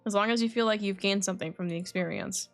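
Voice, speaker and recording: A woman voicing a composed, scholarly young male alchemist from a video game, reading his dialogue in a measured, formal, reflective way. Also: mid-range voice, soft background music, natural voice.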